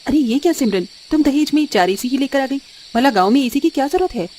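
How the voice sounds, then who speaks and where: A woman's voice: high voice, lively intonation, fast talker, mellow, slight background noise. A woman voicing a cheerful, good-natured village daughter-in-law in a cartoon story. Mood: surprised. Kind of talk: acted cartoon story dialogue.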